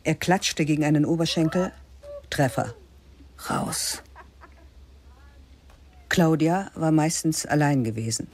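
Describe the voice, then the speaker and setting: A woman narrating a literary radio play in a slow, measured, spare voice that often sinks to a whisper. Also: low-pitched, lively intonation, a little mellow, slight background noise.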